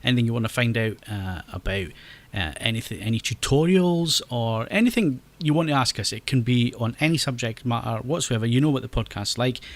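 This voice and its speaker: A Scottish man hosts a relaxed podcast in a chatty, easygoing way. His voice sits at an ordinary middle pitch. He laughs often and trips over repeated words as he talks. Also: brisk, hardly any pauses. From Scotland. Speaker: podcast host. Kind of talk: casual podcast chat.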